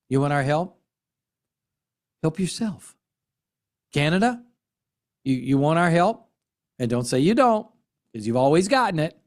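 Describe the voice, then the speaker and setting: A middle-aged American man speaks in a somewhat high voice with wide swings in intonation. He gives impassioned commentary, earnest and emphatic, leaning on repeated phrases and deliberate dramatic pauses. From United States. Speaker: political commentary host and military veteran.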